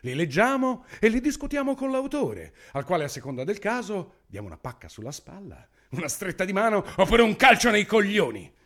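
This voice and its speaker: An actor playing a pompous, menacing authoritarian government minister in a satirical radio play, declaiming grandly with smug brutality. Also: male voice, sits high, very animated, a little fast, few pauses, fairly bright tone, full character voice.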